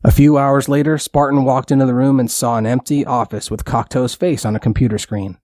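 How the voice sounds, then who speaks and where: A man's voice: mid-range voice, darkish tone, no room sound. Dry, close male storybook narrator. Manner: plain, simple storytelling. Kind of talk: scripted bedtime story narration for children.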